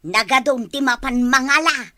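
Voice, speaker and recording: Lady doing a fretful old ilocano village woman, super high piercing voice, nags and whines, barangay comedy. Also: moderate pace, pushed and bright. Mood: nagging. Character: fretful, nagging elderly village woman with arthritis. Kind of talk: broad barangay comedy acting.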